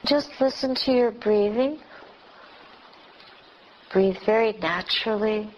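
Female meditation teacher, very slow delivery in short spaced phrases, captured on a muffled recording with noticeable background noise. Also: medium pitch, darkish tone. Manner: unhurried short phrases with long pauses. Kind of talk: guided meditation.

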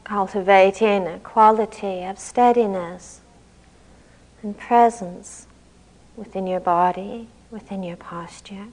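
A woman leading a guided meditation as a teacher, speaking gently and calmly in unhurried phrases with long pauses. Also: medium pitch, rough recording.

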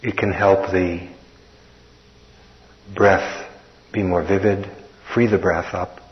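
A man teaching a guided meditation in an unhurried manner, leaving long pauses between short phrases. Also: low voice, steady tone. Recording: dull, muffled recording.

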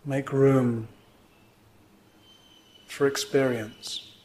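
A man with a medium-pitched voice leads a guided meditation as its teacher. He gives gentle instructions in measured phrases. Pauses sit between the phrases, leaving space after each one. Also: little pitch movement, a little hiss or hum.

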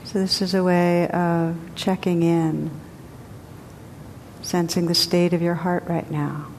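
A woman teacher guiding a group meditation in a gentle, unhurried way with long pauses. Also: little pitch movement. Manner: slow, gentle, spacious pauses. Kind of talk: guided meditation. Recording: long hall reverb, faint rumble.

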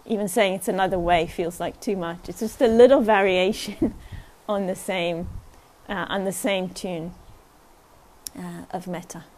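Woman, meditation teacher at a retreat, gentle and unhurried, keeps checking in with the group. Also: fairly low pitch, fairly even intonation, slight background noise. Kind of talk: guided meditation talk at a retreat.

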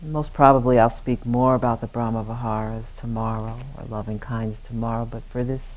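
A man leading a guided meditation as a teacher, speaking gently in short, unhurried phrases with long pauses. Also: medium pitch, flat delivery, poor audio.

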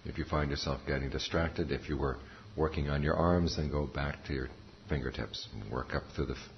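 A man giving guided meditation instruction as a teacher, calm and measured, pausing between short phrases. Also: very deep voice, creaky. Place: meditation center talk. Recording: muffled recording with faint background noise.

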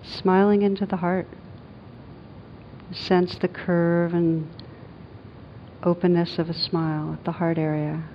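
A woman meditation teacher leading a guided meditation in a gentle, soothing, unhurried manner with long pauses. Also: little pitch movement. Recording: dull lo-fi sound, rumbling background noise.

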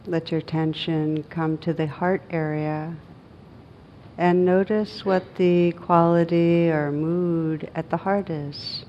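A woman with a low voice leads a guided meditation. Her delivery is gentle and very even, with little rise or fall and long, unhurried pauses between phrases. A faint noise and rumble sit behind her. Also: highs cut off. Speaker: meditation teacher.

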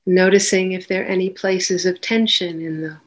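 A woman leading a guided meditation as a teacher, giving gentle, unhurried instructions with long pauses between phrases. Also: on the low side, steady tone.

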